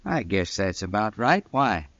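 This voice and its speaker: An actor playing an agreeable, easily tempted saloon owner in a 1950s radio western. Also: male voice, lowish voice, sing-song, unhurried, a bit bright, no echo, full character voice. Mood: agreeable, surprised. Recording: dull, dry old radio recording.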